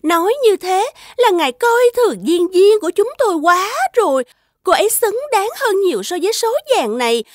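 High, theatrical fawning brothel madam. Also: female voice, animated, moderate pace, no echo, studio quality. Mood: haughty refusal. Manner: exaggerated, obsequious, theatrical. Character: fawning, shrewd middle-aged female brothel madam. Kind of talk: animated fairy-tale dub. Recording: music behind the voice.

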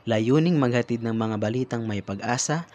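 A young man reporting church news in a measured, formal voice-over. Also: mid-range voice, a little mellow, muffled recording. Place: church hall, indoors.

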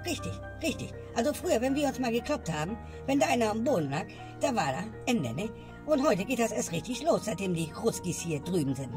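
A woman ranting in a comedy sketch and ending her lines with a questioning tag. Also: mid-range voice. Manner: grumbling, tags lines with "ne?". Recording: music bed behind voice. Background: music.

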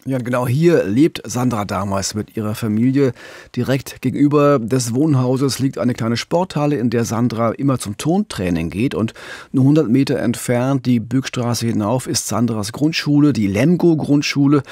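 A middle-aged or older man hosting a true-crime podcast, telling the story in a measured, earnest way. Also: medium pitch, dark tone, no room sound, studio quality. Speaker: podcast co-host, TV crime reporter.

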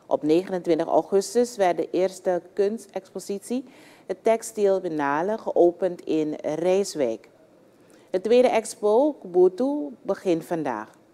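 Woman doing a news voiceover, mellow and kinda low. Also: expressive, a little slow. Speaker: news reporter. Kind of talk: scripted news narration.

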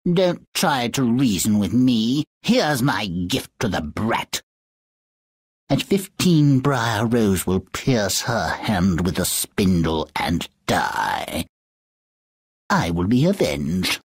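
An actress playing a spiteful, vengeful old witch fairy in a video game, husky, menacing and drawn-out. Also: very deep voice, big pitch swings, no room sound, clean studio sound, exaggerated voice. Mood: vengeful, gloating. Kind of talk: theatrical video game acting.

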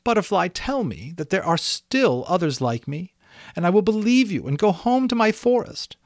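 A man voicing a gentle, innocent female unicorn who speaks in a high, pleading falsetto. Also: very animated, normal speed, no echo, studio quality.

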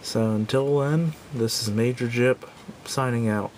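A man giving a casual, unscripted product review in a halting, self-doubting way, recorded indoors at a tabletop on a camera microphone. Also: darkish tone. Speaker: gadget reviewer. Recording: clear background noise with low rumble.

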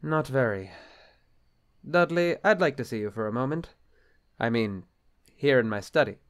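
A man's voice: mid-range voice, animated, normal speed, lightly acted. Stiff, anxious bishop, played by a man. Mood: stiff, formal. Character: stiff, exasperated, anxious male bishop and husband. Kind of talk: film-adaptation audio drama acting.

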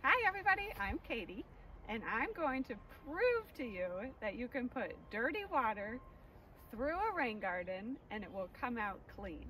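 A woman's voice: fairly high pitch, sharp tone, poor audio. A young adult woman giving an educational demonstration outdoors for viewers. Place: snowy yard outdoors. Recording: outdoor camera audio, faint noise.

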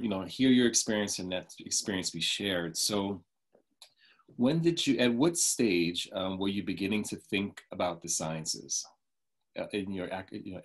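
Middle-aged male host, husky, lowish voice, friendly and affirming on a video call. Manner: friendly, affirming with short responses. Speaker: interview host. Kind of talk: casual interview questions. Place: home room, video call.

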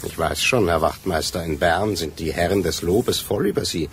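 An actor playing an officious, condescending examining magistrate in a radio play, speaking formally. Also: male voice, deep voice, lively intonation, moderate pace, slight background noise.

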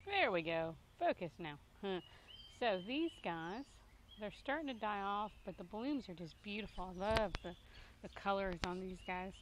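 Woman in her thirties, medium pitch, casual gardening vlogger, handheld phone, noisy outdoor backyard. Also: animated, normal speed. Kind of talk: casual garden tour narration. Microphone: handheld phone at arm's length.

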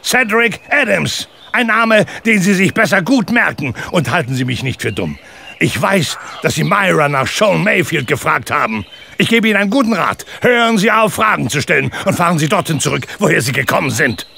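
Sharp, threatening, gruff heavy. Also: male voice, on the high side, animated, normal speed, full character voice. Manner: menacing, confrontational. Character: gruff threatening man warning off snoopers. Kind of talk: German radio play acting.

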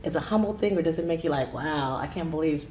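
A woman's voice: low-pitched, quick pace, echoey room, a crowd in the background. A middle-aged woman hosting a faith talk show in a studio, chatty and bubbly, with many affirming uh-huhs. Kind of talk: enthusiastic interview chat. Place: TV studio set, seated.

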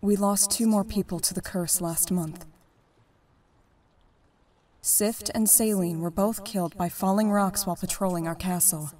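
A woman playing a stern, solemn merfolk ruler in a comic dub, speaking in a measured, speechmaking way. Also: lowish voice, dark tone, far from the mic.

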